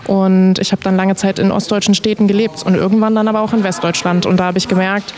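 A woman from Rostock, a workshop leader, clearly explaining her game project. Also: medium pitch, little pitch movement, quick pace, a crowd in the background. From Rostock, northeast Germany.

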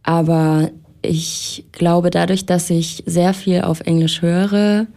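A young woman from Erfurt, a musician talking in a radio studio interview, relaxed and hesitant with many fillers. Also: on the low side, slow pace, gravelly. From Erfurt, Germany. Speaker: musician guest.

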